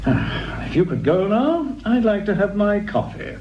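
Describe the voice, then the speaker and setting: An actor playing a haughty, evasive British research director in a radio drama, speaking in a formal, condescending way. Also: male voice, high-pitched, very animated, unhurried, a little mellow. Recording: dull radio recording with faint noise.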